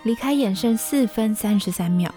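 A woman's voice: a little high, normal speed, warm tone, faint music behind, close to an everyday voice. A woman with a Taiwanese Mandarin accent playing a precise, matter-of-fact, nerdy young woman in a podcast radio drama. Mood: precise, confident.

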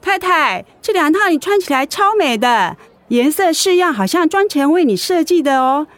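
An actress playing an eager, flattering young saleswoman with sugary patter in a radio drama. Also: high-pitched, normal speed, no echo.

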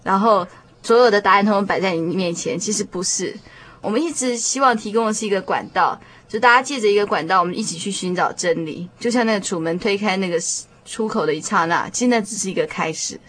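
A woman's voice: mid-range voice, quick pace, a bit bright. A woman with a Taiwanese Mandarin accent, hosting a conversational discussion programme. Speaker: radio show host.

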